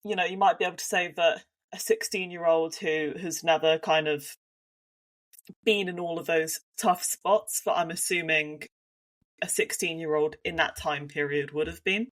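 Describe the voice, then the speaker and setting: A woman's voice: low voice, steady tone, normal speed. A woman from Devon with a Devon accent, co-hosting a casual podcast and thinking aloud with frequent restarts. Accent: Devon English. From Devon, England. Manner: thoughtful, hedging with many restarts. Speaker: podcast co-host.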